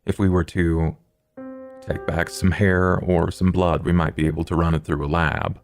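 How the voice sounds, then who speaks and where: A man playing a formal, erudite vampire investigator in a tabletop game, speaking in measured, halting, ornate phrases. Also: deep voice, little pitch movement, soft background music. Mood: grave, analytical. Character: Duke, formal, erudite male vampire investigator, coolly analytical. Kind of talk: tabletop roleplay in character.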